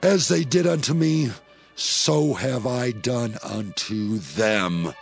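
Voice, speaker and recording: An actor playing a proud, defiant strongman in a dramatized Bible, speaking in a deliberate, declamatory way. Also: medium pitch, creaky, slightly rough, faint music behind, exaggerated voice. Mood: vengeful, defiant. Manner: declamatory, deliberate archaic speech. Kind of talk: dramatized scripture acting.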